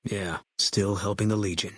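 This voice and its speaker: An actor voicing a grim, bitter former army sniper in a video game, guarded and grieving, with terse, flat, brooding delivery. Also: male voice, lowish voice, normal speed, no echo. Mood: cold, angry.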